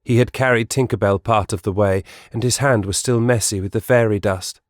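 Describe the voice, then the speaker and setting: Man, audiobook narrator, scripted storytelling, fairly deep voice, dry acoustic. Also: steady tone, brisk, no echo.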